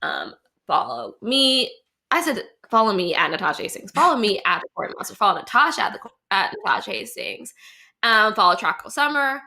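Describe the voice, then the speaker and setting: Bright-voiced young woman hosting. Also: medium pitch. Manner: playful, teasing, informal. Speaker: talk show host. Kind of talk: casual, chatty online show hosting. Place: remote livestream.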